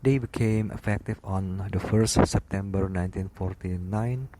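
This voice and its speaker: A man reading a slide lecture aloud as an instructor, in a halting, word-by-word manner with stumbles. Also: low voice. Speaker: aviation lecturer. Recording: clearly noisy background, clicks.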